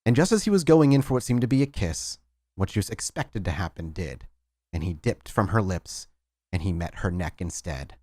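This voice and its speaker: A man's voice: deep voice, lively intonation, normal speed, warm tone, dry recording, studio quality. A man narrating a tabletop horror game as storyteller, in dramatic style.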